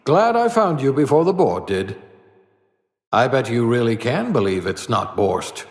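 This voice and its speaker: An actor playing an eccentric older fugitive scientist in a video game, wry and condescending, muttering theatrically to himself. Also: male voice, mid-range voice, very animated, unhurried, echoey room, long reverb tail. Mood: musing, sardonic. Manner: muttering to himself, dryly sardonic, swooping emphasis.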